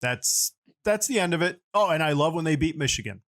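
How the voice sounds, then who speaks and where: A man's voice: on the high side, lively intonation, a little fast, a bit bright. A man hosting a podcast in a casual, chatty style. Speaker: podcast host.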